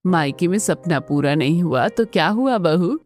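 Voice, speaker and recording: A woman's voice: fairly low pitch, animated, normal speed, a little mellow, faint music behind, natural voice. A woman voicing a kindly middle-aged mother-in-law in a family cartoon, reassuring and encouraging. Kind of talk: Hindi family cartoon dubbing.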